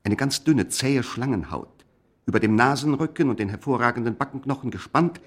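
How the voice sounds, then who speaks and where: A man narrating a scripted radio play in a measured, literary manner. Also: mid-range voice, animated.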